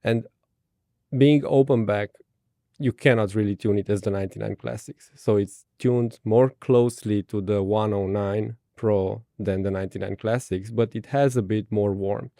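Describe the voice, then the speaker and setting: A man with a Romanian accent speaks in a low, mellow voice. He gives brief, measured technical answers in an interview, recorded dry and close. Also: steady tone, gravelly, dry recording. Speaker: guest.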